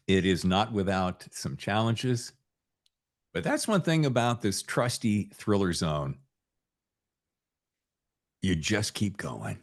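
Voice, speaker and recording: A man's voice: on the low side, very animated, slow, long pauses. A man hosting a podcast in a casual, chatty way, with a jokey, informal manner and wandering asides. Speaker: podcast host.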